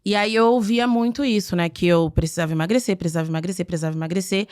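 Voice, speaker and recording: A woman in her twenties from the interior of Minas Gerais, a podcast guest telling childhood stories in an animated, chatty way. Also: fairly low pitch, very fast, clean tone. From interior of Minas Gerais, Brazil. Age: 20s. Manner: animated, chatty storytelling with laughs and "entendeu?" tags. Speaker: podcast guest, beauty communicator. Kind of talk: conversational interview, personal anecdotes.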